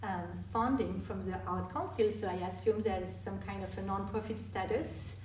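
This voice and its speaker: A woman, a guest speaker answering audience questions in a halting way with many restarts. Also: medium pitch, fairly even intonation, darkish tone, slight background noise. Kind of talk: live Q&A presentation. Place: meeting hall. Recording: echoey hall from far off.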